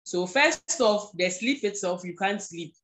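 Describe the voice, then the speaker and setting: A woman's voice: mid-range voice, lively intonation, measured pace, a bit bright. A woman with a Ghanaian accent, for whom English is not her first language, gives a patient live-streamed talk, repeating key points and checking in with "okay". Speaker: guest speaker on mental health.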